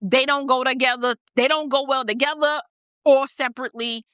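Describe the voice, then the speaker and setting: A woman's voice: sits high, steady tone, fairly quick, a bit bright, smooth, dry recording. An American woman giving opinionated off-the-cuff political commentary, blunt and emphatic, repeating phrases for stress.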